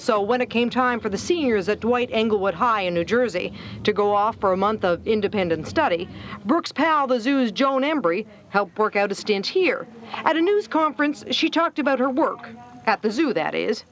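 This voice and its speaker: A woman with an American accent narrating a feature news report in a playful, wry way. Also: fairly high pitch.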